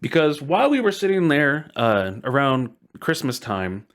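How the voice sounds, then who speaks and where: A man's voice: a little high, sing-song, moderate pace, fairly bright tone. A man hosting a casual podcast chat in a playful, jokingly ceremonious way. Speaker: podcast host.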